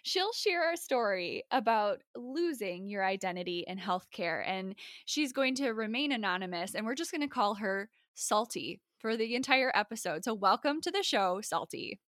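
A woman's voice: mid-range voice, expressive, moderate pace, few pauses, fairly bright tone, no room sound, clean studio sound. A woman from the US Midwest hosting a podcast, talking casually with lots of "like" and fillers. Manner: chatty, filler-heavy with frequent "like". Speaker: podcast host. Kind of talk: casual interview chat.

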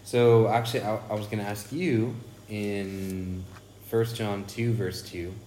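A man's voice: lowish voice, steady tone, measured pace, mellow, a little hiss or hum. A man co-hosting a casual Bible study podcast, thoughtful and enthusiastic, often restarting his phrases. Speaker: podcast co-host.

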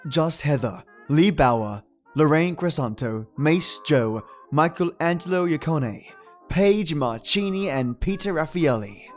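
A man hosting a podcast, delivering a scripted introduction in a theatrical way that savours each phrase. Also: mid-range voice. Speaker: podcast host. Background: music behind the voice.

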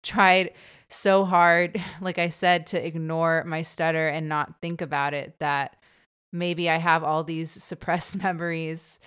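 A woman who stutters, hosting a personal interview with her mother in a reflective, halting way. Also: sits low, little pitch movement, a bit bright, clean tone. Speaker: podcast host.

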